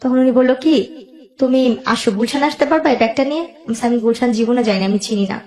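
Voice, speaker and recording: A woman's voice: fairly high pitch, quick pace, darkish tone, reverberant. A woman with a Bangladeshi accent tells her own life story as a guest, emotionally, quoting both sides of conversations as she goes.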